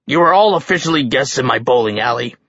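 A man's voice: medium pitch, animated, quick pace, fairly bright tone, dry recording, muffled recording. A man voicing a commanding giant king in a fantasy audiobook, genial, showy and slyly menacing. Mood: jovial, commanding.

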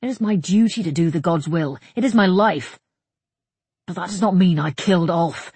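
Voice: medium pitch, normal speed, darkish tone, husky, no room sound. Storybook warrior, male voiced by a narrator: blunt, wary, dutiful and defensive, in a dry recording. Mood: curt, defiant. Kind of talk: audiobook character dialogue.

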